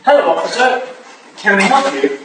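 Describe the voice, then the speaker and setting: A man playing a wry, courteous Victorian gentleman and exasperated brother in a staged drama reading. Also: high voice, sing-song, normal speed. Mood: politely probing. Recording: echoey hall from far off, clearly noisy.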